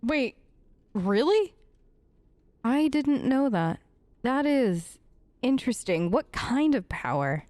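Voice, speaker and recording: Wry, higher-voiced woman playing a game. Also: big pitch swings, slow, pauses often, darkish tone, no room sound. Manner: wry, conversational, speaking in character to the game. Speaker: let's play host. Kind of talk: casual role-play game commentary.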